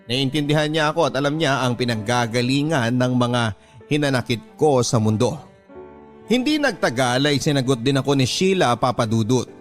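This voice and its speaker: A man's voice: medium pitch, animated. Filipino man narrating over prominent music. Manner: measured, sentimental storytelling. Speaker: radio drama narrator reading a letter-sender's story. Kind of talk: first-person scripted dramatic narration. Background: music bed.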